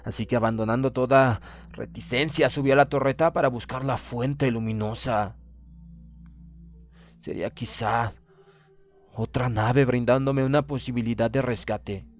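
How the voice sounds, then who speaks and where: Un hombre que lee un audiolibro de terror como un orgulloso oficial naval prusiano condenado, con tono grave y pausado. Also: male voice, mid-range voice, fairly even intonation, faint music behind, lightly acted. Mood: uneasy wonder. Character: proud, doomed Prussian naval officer writing his last account. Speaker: audiobook reader. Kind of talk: dramatic horror audiobook narration.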